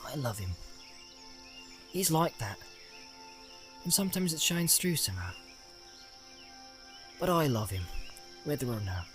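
A man's voice: sits high, big pitch swings, moderate pace, soft background music, voice pushed up. A man voicing a loyal, plain-spoken hobbit servant with blunt, homely, rustic speech in a storybook reading. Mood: tender.

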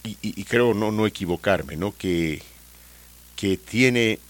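A man with a fairly low voice hosts a radio talk show. He talks in a relaxed, chatty way and often stalls on fillers and restarts mid-sentence. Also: slow. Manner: hesitant, many fillers and restarts.

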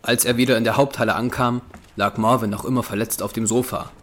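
A man's voice: mid-range voice, steady tone, fast, fairly bright tone, slight background noise. A man reading scripted narration as the narrator of a German audio drama.

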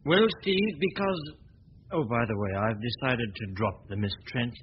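An actor playing a suave, confident amateur detective in a 1940s radio drama, talking in a breezy, teasing, self-assured way. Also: male voice, medium pitch, big pitch swings, moderate pace, lightly acted. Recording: dull old broadcast recording with faint hiss.

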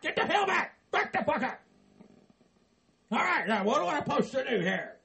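A man's voice: very high voice, sing-song, normal speed, gravelly, sharp tone, full character voice. A man playing a grumpy, profane middle-aged man who hates Christmas, blustering and exasperated. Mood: grudging. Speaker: comedy character.

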